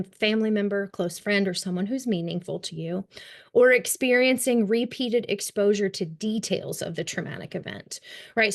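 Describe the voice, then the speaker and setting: Kentucky woman giving a webinar talk. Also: mid-range voice. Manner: warm, chatty, with frequent ums and light laughs. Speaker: trauma-informed care trainer. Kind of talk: webinar presentation.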